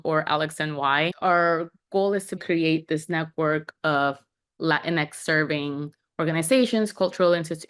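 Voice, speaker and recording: Upbeat low-voiced young woman. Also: smooth. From Puerto Rico. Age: young adult. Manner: upbeat, laughs often while talking. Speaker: guest. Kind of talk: podcast interview.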